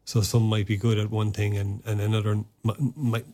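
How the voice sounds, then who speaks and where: An Irish man in his thirties talks through his army years in a fairly low, level voice that barely rises or falls. He pauses often, stacking ums and repeated words as he gathers his thoughts. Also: monotone, dark tone. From Ireland. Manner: hesitant, many restarts and ums. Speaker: army officer guest. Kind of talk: reflective interview answers.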